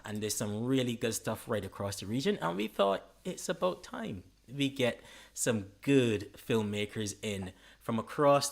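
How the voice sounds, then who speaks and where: A man with a Caribbean English accent hosting a casual online conversation, joking and laughing easily. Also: medium pitch. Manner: laughs often, easygoing and joking. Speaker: host. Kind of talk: casual live-stream chat.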